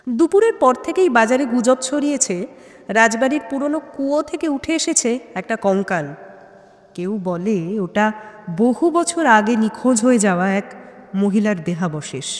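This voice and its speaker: A woman giving a measured, suspenseful dramatic reading of a detective story. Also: a little high, animated. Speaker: story narrator. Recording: long hall-like reverb.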